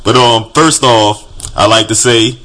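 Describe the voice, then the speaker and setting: A man with a fairly low voice hosts a casual call-in show. He talks loudly and easily, in relaxed slang, stretching his questions out. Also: measured pace, background noise. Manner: loud, slangy and laid-back. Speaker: show host.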